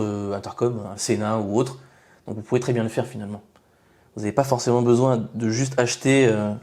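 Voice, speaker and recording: A man giving an informal product review, explaining things haltingly and often rephrasing mid-sentence. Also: medium pitch, warm tone. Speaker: motorcycle gear reviewer.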